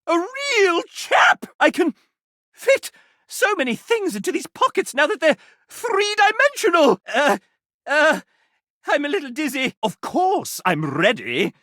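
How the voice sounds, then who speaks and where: A man's voice: extremely high pitch, big pitch swings, measured pace, bright, forceful tone, dry recording, clean studio sound, exaggerated voice. An actor playing a sassy, theatrical male game-show host mascot in a video game, with pompous, showy, flowery delivery. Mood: gleeful, excitable. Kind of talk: video game character voice lines, game-show hosting.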